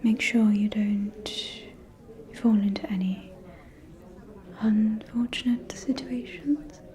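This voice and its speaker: A woman playing a scheming, falsely caring aristocratic vampire in a whispered ASMR roleplay, with a soft, breathy, silky and insinuating delivery. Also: a little high, steady tone, crowd noise. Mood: falsely concerned. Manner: slow, silky, insinuating, condescending.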